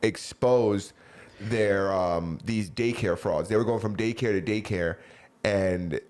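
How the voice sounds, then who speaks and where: A man's voice: on the low side, slow, a little mellow. A man co-hosting a podcast, chatting casually with loose, profane, rambling talk full of fillers. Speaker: podcast co-host.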